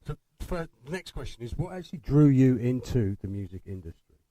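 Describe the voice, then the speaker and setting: A man co-hosting a podcast, politely putting casual interview questions in a measured way. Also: mid-range voice, big pitch swings, mellow, no room sound.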